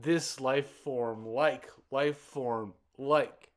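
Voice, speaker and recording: A man reciting his own poem as spoken word, in deliberate, broken phrases with halting pauses and repeated words. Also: medium pitch, steady tone. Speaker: poet reciting own work.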